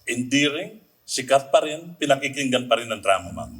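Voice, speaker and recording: Filipino guy guest, husky mid-range voice, chatting in an interview. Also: medium pitch, expressive, moderate pace, fairly bright tone. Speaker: radio drama team member, guest. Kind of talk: conversational interview answers, mixing Tagalog and English.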